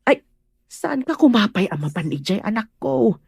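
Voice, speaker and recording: A woman's voice: fairly high pitch, sing-song, normal speed, vocal fry, a little mellow, slightly rough, no room sound, clean studio sound, full character voice. A woman playing a caring, fretting woman who pleads and scolds, in an Ilocano audio drama. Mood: worried, insistent.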